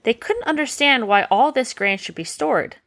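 A woman voicing a gentle, patient uncle who explains things in a bedtime story. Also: on the high side, animated, moderate pace, pitched above the performer's own voice. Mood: gentle pride. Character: gentle, patient uncle, a humble carriage driver.

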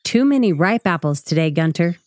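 Radio drama role: hardworking middle-aged wife played by a woman, fond but wry, slightly low voice, very dry close recording. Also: animated, fairly quick, a little mellow, no echo, natural voice. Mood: friendly bargaining.

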